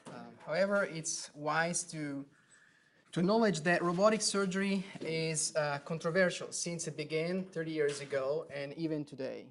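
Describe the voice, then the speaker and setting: A surgeon from Italy with an Italian accent gives an academic lecture, pausing often with fillers and restarting phrases. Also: male voice, on the high side, fairly bright tone, slight background noise. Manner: hesitant, many fillers and restarts. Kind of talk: academic lecture at grand rounds. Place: lecture hall.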